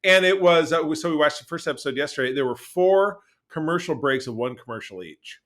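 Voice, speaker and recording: A man co-hosting a podcast in casual, opinionated chat, animated and emphatic, often repeating his phrases. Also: on the high side, a little fast. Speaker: podcast co-host.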